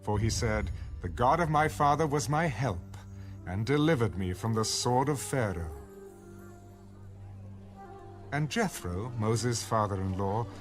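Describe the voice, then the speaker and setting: A man's voice: mid-range voice, lightly acted. A man reading scripture as the narrator of a dramatized audio Bible. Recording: music bed under the voice. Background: music.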